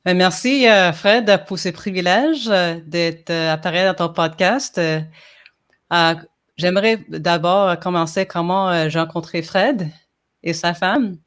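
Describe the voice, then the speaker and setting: A woman's voice: on the low side, measured pace, clear, resonant tone. A woman from Montreal whose first language is English, a podcast guest talking in a casual interview, hesitant with many fillers and restarts. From Montreal, Quebec.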